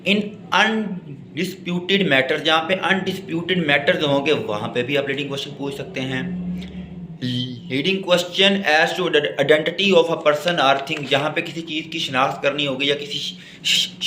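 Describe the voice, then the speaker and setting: A man's voice: a little high, moderate pace, pushed and bright. A man with a Pakistani accent lecturing in a didactic way, reading out notes and explaining them point by point. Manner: didactic, spells out and repeats points. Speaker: law lecturer. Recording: echoey room with faint background noise.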